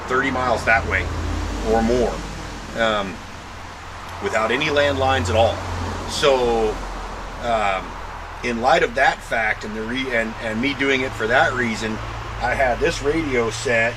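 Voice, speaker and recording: An American man giving a casual, halting explainer to camera in an informal, thinking-aloud way. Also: mid-range voice, noisy background. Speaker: vlogger showing a truck modification.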